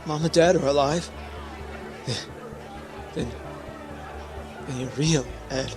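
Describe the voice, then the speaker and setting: An actor playing a commanding but sentimental space-rocket captain in a radio drama, speaking with earnest emphasis. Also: male voice, on the high side, fairly even intonation, takes it slowly, music in the background, a crowd in the background. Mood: amazed, moved.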